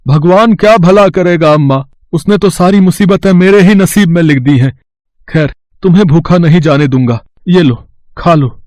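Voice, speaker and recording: A man voicing a poor, kind-hearted village vegetable seller in an earnest, plaintive, expressive way. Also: sits high, moderate pace, dry recording, natural voice. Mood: downcast.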